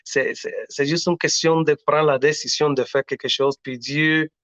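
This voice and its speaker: A man's voice: a little high, steady tone, fairly bright tone, no room sound. Earnest man. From Latin America. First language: Spanish. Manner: earnest, halting, frequent restarts. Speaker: singer, interview guest. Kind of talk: casual interview talk. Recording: dull lo-fi audio.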